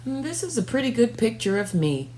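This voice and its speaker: A woman in her thirties reading a picture book aloud for children, with expressive, deliberate phrasing. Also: on the high side, low-quality recording. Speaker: storytime reader. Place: small furnished room at home, indoors. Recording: faint background noise and hum.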